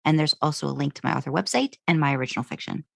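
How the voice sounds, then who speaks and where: A woman's voice: sits low, rapid-fire, gravelly, no room sound, studio quality. A woman co-hosting a podcast, talking casually and feeling her way through her thoughts as she goes. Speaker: podcast co-host. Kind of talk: casual fan discussion chat.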